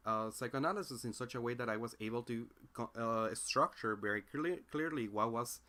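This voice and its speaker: A young man with a Spanish accent thinks aloud in a relaxed commentary voice, pitched in the middle range. He stumbles and restarts often, trading polish for sincerity as he works through ideas. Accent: Spanish-speaking. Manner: thoughtful, searching, many fillers and restarts. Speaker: independent philosophy commentator. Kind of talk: casual reflective monologue.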